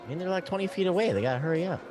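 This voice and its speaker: A man's voice: high voice, animated, very fast, music in the background. A man playing a tabletop roleplaying game, switching casually between table chat and speaking as his character.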